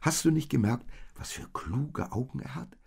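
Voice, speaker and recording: A man voicing a tender, eager young woman in a storybook tale, with breathy, gushing exclamations. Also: mid-range voice, lively intonation, moderate pace, darkish tone, pitched above the performer's own voice, exaggerated voice. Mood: gently earnest. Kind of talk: storybook character voice in narrated tale.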